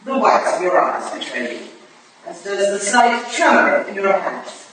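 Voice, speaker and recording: A woman playing a stern, sharp-witted Victorian lady detective, curt and dry, in a staged play reading. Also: medium pitch, very animated, unhurried. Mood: probing. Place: live staged reading in an echoey room. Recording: echoey room, distant mic, faint noise.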